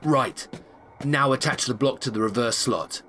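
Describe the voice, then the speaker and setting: A man's voice: mid-range voice, a little slow, background noise, close to an everyday voice. A man as a stern battle-worn agent. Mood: commanding. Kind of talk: audio drama acting.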